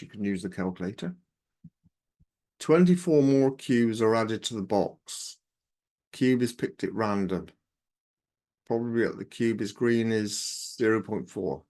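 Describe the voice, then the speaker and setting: A man with a croaky voice, working through exam maths questions aloud step by step as a tutor. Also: lowish voice. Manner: steady step-by-step, talks himself through sums.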